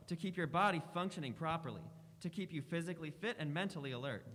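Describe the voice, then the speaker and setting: A man delivers a scripted 1940s-style radio commercial as the announcer. His pitch is a bit high for a man. His voice rings in a hollow space. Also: a bit bright. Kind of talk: 1940s radio advertisement read. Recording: hollow, reverberant room.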